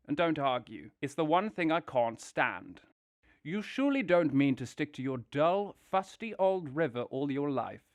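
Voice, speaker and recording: A man voicing a boastful, excitable male toad who rattles off lists like a salesman in a fan audio drama. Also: on the high side. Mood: coaxing.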